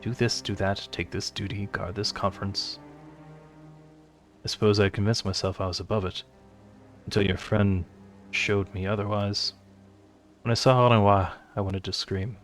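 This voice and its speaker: A man's voice: on the low side, steady tone, normal speed, long pauses, soft background music, natural voice. A man playing a brooding, self-doubting young nobleman in a fantasy game, earnest and formal in delivery. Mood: anguished, resolute.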